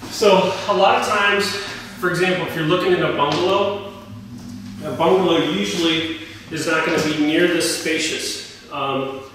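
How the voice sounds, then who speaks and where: Male realtor, forties, casual thinking-aloud tour talk, very echoey empty rooms, clear background noise. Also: on the high side, moderate pace. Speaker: realtor giving a house tour. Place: empty rooms inside a house. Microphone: camera mic at a few metres. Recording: echoey bare rooms, distant mic, noisy.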